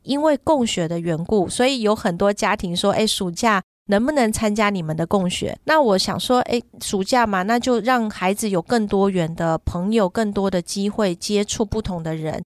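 A woman's voice: mid-range voice, moderate pace, hardly any pauses, no room sound, studio quality. A middle-aged woman in casual podcast conversation, explaining things at length with examples. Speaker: podcast guest and parent who runs children's summer camps.

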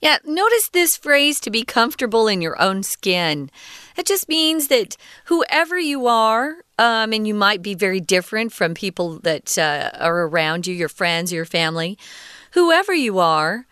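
A woman teaching English. She reads a passage aloud and patiently explains each word, often pausing with "um" and "uh.". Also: mid-range voice, expressive, fairly bright tone, clean tone, no room sound, studio quality. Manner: patient, defines words step by step; frequent ums and uhs.

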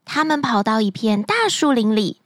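A woman narrating a fairy tale as a bedtime story, gently and expressively, close to the mic. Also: on the high side, sing-song, normal speed, no room sound.

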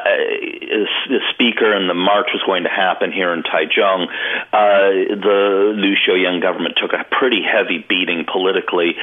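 Man, very deep voice, halting commentary, restarts often, phone-quality audio. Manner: hesitant, many pauses and restarts. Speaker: co-host commenting on news. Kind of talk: casual political commentary. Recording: tinny phone line.

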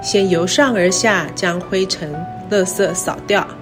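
Middle-aged woman, Taiwanese Mandarin accent, reflective scripted narration, measured phrasing, clear music bed behind. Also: lowish voice. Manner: measured, short careful phrases. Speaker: university president. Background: background music.

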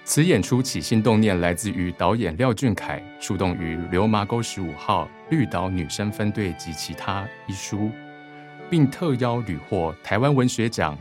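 Deep-voiced, unhurried Taiwanese Mandarin male narrator. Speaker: narrator reading synopsis, credits and stage directions. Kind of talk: scripted radio drama narration.

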